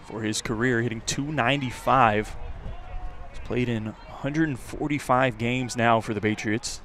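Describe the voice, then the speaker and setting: A man's voice: mid-range voice, steady tone, normal speed, a bit bright. A man calling live baseball play-by-play for radio in a conversational way, filling the gaps with player background. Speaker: play-by-play announcer. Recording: clear outdoor background noise. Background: outdoor ballpark ambience.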